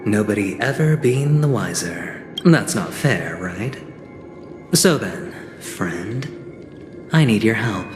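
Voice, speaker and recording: A man voicing a smooth, cocky young schemer in a video game, theatrical and insinuating, talking like a teasing negotiator. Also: lowish voice, animated, slow. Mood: scheming, animated. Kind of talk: dramatic video game voice acting. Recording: echoey reverb, music underneath. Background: game music.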